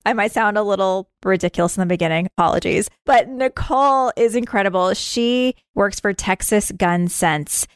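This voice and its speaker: A woman's voice: mid-range voice, moderate pace, hardly any pauses, clean tone, no echo, studio quality. A woman hosting a podcast in an informal, chatty and self-deprecating way. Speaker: podcast host. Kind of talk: chatty podcast intro and interview.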